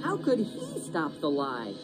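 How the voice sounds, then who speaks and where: A woman's voice: low-pitched, huge pitch swings, unhurried, over loud music. A woman reading a children's storybook as a dramatic narrator.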